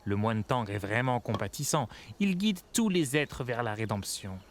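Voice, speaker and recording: An actor playing an admiring street storyteller in an animated film, declaiming legends. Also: male voice, mid-range voice, moderate pace, close to an everyday voice. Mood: assured, reverent. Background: music.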